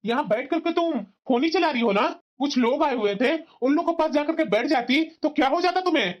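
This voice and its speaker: A woman's voice: high voice, expressive, a little fast, faint music behind. A woman voicing an exasperated, dutiful young husband in a family cartoon, reasoning and scolding in a reproachful way. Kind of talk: cartoon family drama dialogue. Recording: dull, muffled lo-fi sound.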